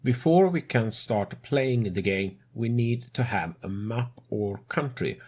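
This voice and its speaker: A man speaking English as a second language, narrating a game tutorial as host in a measured way with non-native grammar. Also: medium pitch, expressive, darkish tone, breathy edge. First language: not English.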